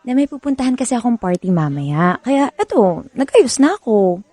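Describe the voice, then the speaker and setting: A young Filipino woman, breezy and teasing as a best friend in a radio drama. Her pitch moves around a lot and the sound is dry and close. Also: big pitch swings, moderate pace, warm tone, no room sound.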